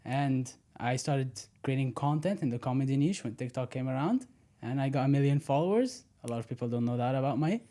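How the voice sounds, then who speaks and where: A man's voice: mid-range voice, a little fast, mellow. A man in his twenties, born in the Netherlands and raised in Egypt, talking as a podcast guest. He explains his story in a confident, direct way, step by step. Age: 20s. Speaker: podcast guest, personal branding strategist. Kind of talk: casual interview conversation.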